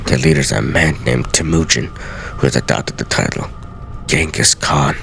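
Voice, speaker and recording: Aging video game master assassin, acted by a man: rock-bottom pitch, heavy gravelly fry, solemn, weary, measured journal delivery. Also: fairly bright tone, a little hiss or hum, heavily acted. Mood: grave, concerned. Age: older. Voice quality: very deep, gravelly. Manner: grave, measured, theatrical dramatic reading.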